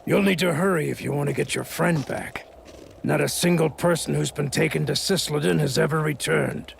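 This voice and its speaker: Very gravelly, bitter townsman. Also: a little high, moderate pace, a little hiss or hum. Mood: defiant. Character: bitter, defiant oppressed townsman who lost family. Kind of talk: video game voice acting.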